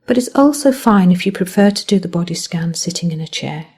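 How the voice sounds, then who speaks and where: A woman guiding a body scan meditation as a mindfulness teacher, speaking gently in measured phrases that end softly. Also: lowish voice, animated, slightly rough.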